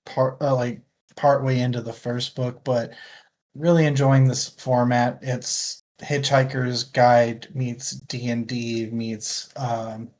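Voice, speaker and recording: A man's voice: mid-range voice, little pitch movement, slow, darkish tone. A man hosting a casual book chat livestream, talking in a rambling, jokey and self-deprecating way. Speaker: book club podcast host.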